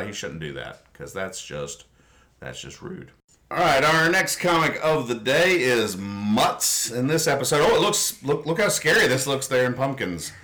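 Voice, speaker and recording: Bright-voiced man bantering about comics. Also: on the low side, slight background noise. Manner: mock-serious, playfully teasing. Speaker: comedy podcast host. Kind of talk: casual comic banter, describing comic strips aloud.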